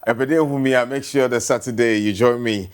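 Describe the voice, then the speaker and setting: A man's voice: medium pitch, fairly even intonation, quick pace. A man with a Ghanaian accent co-hosting a morning show with casual interview questions. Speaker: morning TV co-host.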